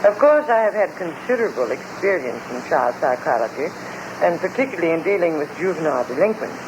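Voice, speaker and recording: A man's voice: fairly high pitch, big pitch swings, brisk. A man speaking formally on old-time radio. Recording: noisy old radio broadcast.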